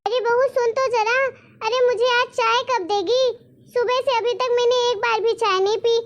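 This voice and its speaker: A woman plays a cranky, forgetful grandmother in a family skit. Her voice is extremely high and shrill, and she whines and accuses in every line. She makes demands in a piercing tone. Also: steady tone, normal speed, no echo, muffled recording. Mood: complaining. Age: over 60. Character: forgetful, stubborn old grandmother, complaining.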